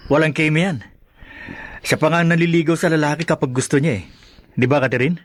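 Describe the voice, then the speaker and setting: An actor playing a teasing, scheming friend in a radio drama. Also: male voice, on the high side, steady tone, a little fast, no echo, close to an everyday voice. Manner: teasing and chuckling. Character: scheming buddy Daniel, jokey.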